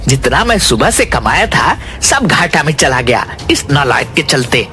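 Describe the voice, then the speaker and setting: A man's voice: medium pitch, sing-song, normal speed, bright, forceful tone, soft background music. A man voicing a greedy, scornful father who sells sweets in a village, in a Hindi cartoon. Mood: angry, scornful. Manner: grumbling, scornful. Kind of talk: Hindi moral-story cartoon acting.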